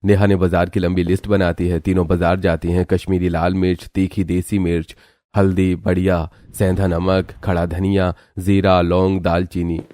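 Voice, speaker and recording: A man's voice: low-pitched, little pitch movement, moderate pace, mellow, no echo, studio quality. A man narrating a scripted cartoon family story.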